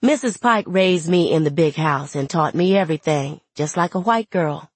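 Actress as plainspoken young woman, wry, resentful, first-person audiobook, conversational dialect, husky, dry sound. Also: deep voice, normal speed, no room sound. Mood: wry, aggrieved.

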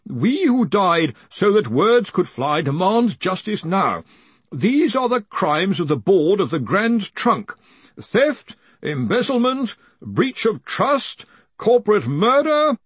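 An easily overwhelmed researcher in a comic fantasy audiobook. His voice is pitched high and squeaky, with a husky quaver. He stammers deferentially and apologetically. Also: very animated, takes it slowly, no echo, heavily acted. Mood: nervous recital.

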